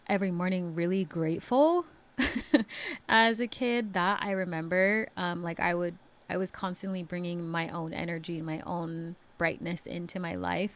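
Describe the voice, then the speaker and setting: Chatty woman telling her own story. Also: lowish voice. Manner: casual, chatty, laughs often, many filler words. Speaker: podcast host. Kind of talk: personal storytelling monologue.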